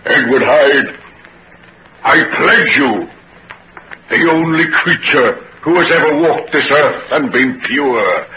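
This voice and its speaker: An actor playing a gleeful, deranged murderer in 1940s radio melodrama, ranting theatrically and cackling on an old recording. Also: male voice, medium pitch, lively intonation, slow, muffled recording, background noise. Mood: manic ecstasy. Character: gleeful, deranged male murderer exulting in his own evil.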